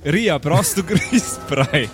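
A man's voice: a little high, lively intonation, slow, music in the background. A man playing in an improvised tabletop game, talking casually and a little hesitantly, as if thinking aloud. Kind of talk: improvised casual in-character play.

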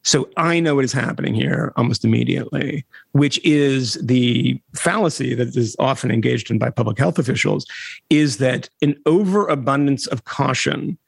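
A middle-aged man, a reporter guest on a podcast, talking in an animated way with wry asides and chuckles. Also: mid-range voice, moderate pace, creaky, husky, dry recording. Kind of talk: conversational interview.

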